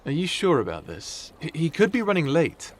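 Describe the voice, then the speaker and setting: A man's voice: on the high side, wildly swooping pitch, normal speed, noisy background. A man playing an uneasy, informal warrior leader in an ASMR audio roleplay, talking earnestly and hesitantly. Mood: wary. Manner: casual, hesitant, earnest phrasing.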